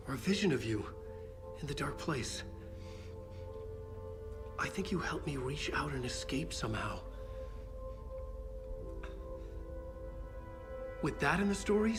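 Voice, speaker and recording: A man's voice: mid-range voice, natural voice. An actor playing a weary, shaken male novelist who stumbles and repeats words when unsure. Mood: hushed. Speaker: writer.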